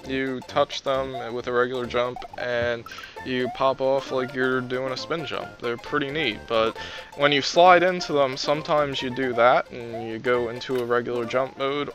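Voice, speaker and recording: A man's voice: mid-range voice, a bit bright. A young man giving casual, rambling commentary over his gameplay, wry and self-deprecating, his voice strained from talking a long time. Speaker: let's play commentator.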